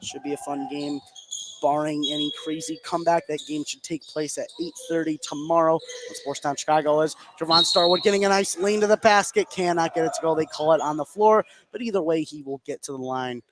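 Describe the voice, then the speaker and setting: Over clearly audible gym noise, a man calls a basketball game for radio. His voice sits somewhat high, and he follows the action play by play in the manner of a live sports announcer. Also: fairly quick.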